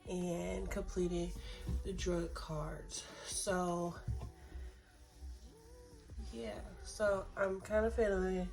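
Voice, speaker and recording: Slow-talking stuffy young woman vlogger. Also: mid-range voice, darkish tone, rough recording. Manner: unhurried, with frequent ums and restarts. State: stuffy from a sinus infection. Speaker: nursing student vlogger. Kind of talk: casual vlog talk to camera.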